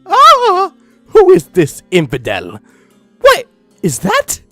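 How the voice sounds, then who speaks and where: A man's voice: very high-pitched, wildly swooping pitch, takes it slowly, pushed and bright, no room sound, soft background music, voice pushed up, heavily acted. A man voicing a fierce, outraged male militant villain in a story reading. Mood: alarmed.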